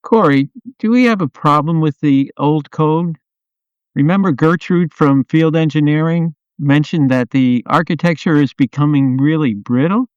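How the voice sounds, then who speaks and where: A man's voice: a little high, moderate pace, smooth, no echo, dull audio, close to an everyday voice. A man playing a concerned, earnest product owner in a radio play, questioning and conciliatory. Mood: probing. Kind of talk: amateur radio play dialogue.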